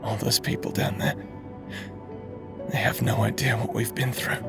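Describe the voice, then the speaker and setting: Man acting as slow, gravelly fallen tyrant. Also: medium pitch, flat delivery, over loud music. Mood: solemn, wistful. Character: weary former tyrant, brooding, needling male fantasy mentor. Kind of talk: dramatic audio roleplay monologue.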